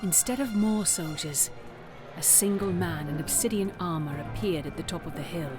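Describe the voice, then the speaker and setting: Casting: female narrator, lower register, dramatic scripted storytelling over a prominent score. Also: moderate pace. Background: dramatic background music.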